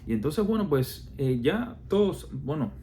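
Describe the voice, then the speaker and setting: A man's voice: on the high side, big pitch swings, a little slow, warm tone, slight background noise. A man from Cuba with a Cuban Spanish accent, explaining his views in casual talk, full of fillers, restarts and rhetorical questions.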